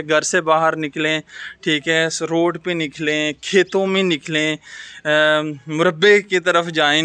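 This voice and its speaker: A man's voice: high voice, sharp tone, clean tone, no room sound. A man vlogging in a casual, reflective, advice-giving way, mixing English words into his speech. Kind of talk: casual chat to camera. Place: outdoors in rainy weather.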